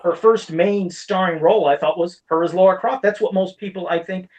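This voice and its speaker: Man, high-pitched, rapid speech, blunt and emphatic, casual rambling podcast chat, slightly distant mic. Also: darkish tone, muffled recording. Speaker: podcast co-host.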